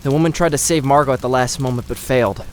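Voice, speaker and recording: A blunt but devoted fantasy hero, acted by a man, with a sincere, medium-pitched male voice. It is audio drama acting, with faint rain-like noise behind. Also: fast, lightly acted. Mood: blunt, somber. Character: blunt, devoted, self-blaming fantasy hero in human form.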